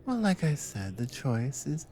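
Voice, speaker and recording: A woman playing a devoted, protective Nordic adventurer wife in an intimate ASMR roleplay, drowsy and tender, breathy and often slipping into a whisper. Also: very deep voice, very animated, slight background noise, exaggerated voice. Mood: gentle concern. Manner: slow, soft, tender with pauses.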